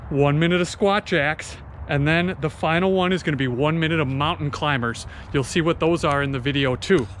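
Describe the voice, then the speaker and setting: A man's voice: on the high side, a little fast, a bit bright, a little hiss or hum. A man in his thirties coaching a home workout outdoors on a driveway, explaining the exercises and counting reps into a camera mic. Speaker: fitness instructor. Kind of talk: workout instruction. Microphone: camera mic, often at a distance.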